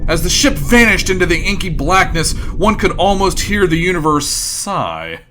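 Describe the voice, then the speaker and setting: An actor playing a wry, droll narrator of a comic sci-fi audio drama, telling it theatrically. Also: male voice, high voice, very animated, moderate pace, bright, forceful tone, slight background noise, exaggerated voice. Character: wry, droll omniscient male storyteller.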